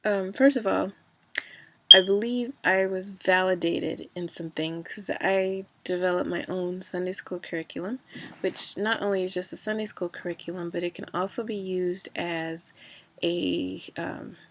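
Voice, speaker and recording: A woman's voice: fairly low pitch, pushed and bright. A woman talking in a casual personal vlog, rambling with many fillers and restarts, a bit stuffy from a cold.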